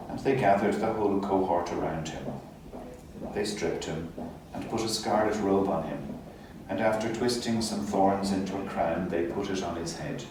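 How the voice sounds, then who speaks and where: A low-voiced man reads scripture solemnly, in a measured and formal way. The sound is very reverberant and distant from the microphone, with faint noise behind him. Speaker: clergy reader, Gospel narrator. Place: hospital chapel. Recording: echoey, distant mic, faint ambient noise.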